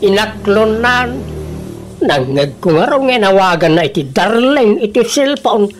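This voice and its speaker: Casting: actress as teasing Ilocano neighbour in radio comedy, mid-range voice, gossipy retorts, faint background noise. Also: female voice, mid-range voice, sing-song, a little slow.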